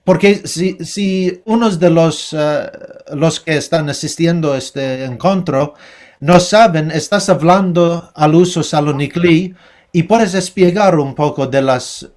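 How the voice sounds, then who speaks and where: A man's voice: fairly high pitch, slow. A man hosting an interview, hesitant and repeating words as he forms his questions. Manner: hesitant, repeats words, gives frequent soft acknowledgments. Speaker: interviewer host. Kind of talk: conversational interview.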